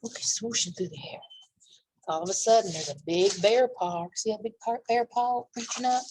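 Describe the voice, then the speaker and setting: A woman with a husky voice reading a picture book aloud to young children, pausing for simple asides about the pictures. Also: mid-range voice, a little mellow, slight background noise, poor audio. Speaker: children's library storytime reader.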